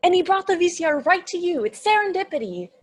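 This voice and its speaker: A woman's voice: very high-pitched, big pitch swings, fast. A woman playing an insecure thirteen-year-old demon, timid and apologetic, in a comedy script read. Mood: earnest, eager.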